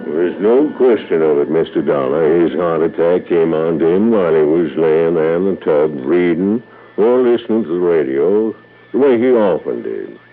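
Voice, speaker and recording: Very deep-voiced old country doctor. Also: male voice, expressive, soft background music. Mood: sombre, kindly. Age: older. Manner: folksy, courteous, unhurried. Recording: muffled old radio recording.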